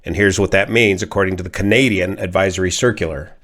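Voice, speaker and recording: An American man, a pilot from California, giving an informal analysis in a measured, methodical, technical way. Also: sits low, steady tone, a bit bright. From California, United States. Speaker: pilot and aviation commentator. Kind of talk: informal explanatory accident analysis.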